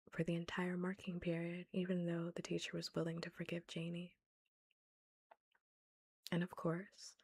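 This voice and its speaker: Whispering young woman, breathy and gravelly. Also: lowish voice. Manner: whispered, chatty with frequent "like". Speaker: book reader. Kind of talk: whispered ASMR book reading and chat.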